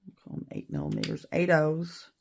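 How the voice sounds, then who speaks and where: A middle-aged woman with a raspy voice after an illness, chatting casually and rambling a little while she shows how to make a beaded bracelet. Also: sits low, flat delivery, brisk, noisy background. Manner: chatty, rambling, self-deprecating. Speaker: beading video host. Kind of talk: casual tutorial chat.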